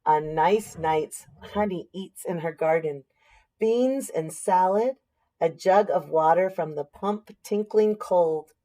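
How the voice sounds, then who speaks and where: Slow-paced American woman reading a storybook. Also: fairly low pitch, rough recording. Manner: expressive, explanatory, asking listeners questions. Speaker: teacher reading a picture book aloud. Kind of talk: storybook read-aloud with asides to young listeners.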